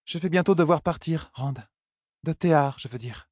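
A man voicing a young noblewoman in an audiobook, earnest and tender, with a soft, coy, careful way of talking. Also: on the high side, moderate pace, no echo, clean studio sound, voice pushed up, close to an everyday voice. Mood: anxious, earnest.

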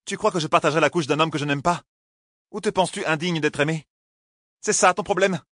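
A man's voice: mid-range voice, a little fast, a bit bright, dry recording, pitched above the performer's own voice, natural voice. Fantasy audiobook role: stubborn, outspoken young woman in love, defiant and teasing, dry recording male narrator performing. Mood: furious. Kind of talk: audiobook character dialogue.

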